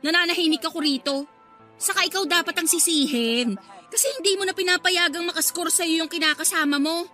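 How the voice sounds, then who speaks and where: High, bright, sassy Filipina mistress. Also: female voice, a little fast. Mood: catty, defiant. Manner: sassy, mocking. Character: brazen, taunting young mistress, shameless and catty. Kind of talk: Filipino radio melodrama acting. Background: dramatic music.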